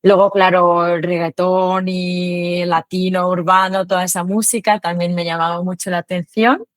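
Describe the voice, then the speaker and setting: A woman's voice: lowish voice, little pitch movement, normal speed, dry recording. Chatty woman, filler-heavy speech, dry sound. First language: not Spanish. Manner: chatty, hesitant, many fillers. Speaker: interview guest, Spanish learner. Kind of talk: casual conversational interview.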